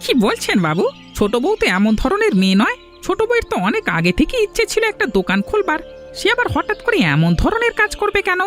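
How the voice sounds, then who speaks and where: A swooping, quick-fire cartoon villager played by a man, outspoken and argumentative. He makes every point emphatically. Faint music plays behind him. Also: very high-pitched, huge pitch swings, a bit bright, exaggerated voice. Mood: doubting. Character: outspoken village man defending a wronged young widow. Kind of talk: cartoon voice acting.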